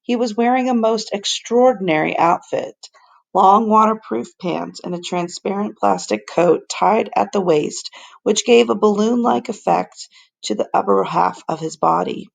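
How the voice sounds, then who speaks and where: A woman reading a children's story aloud like a teacher, with measured, deliberate, expressive narration. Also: medium pitch, creaky.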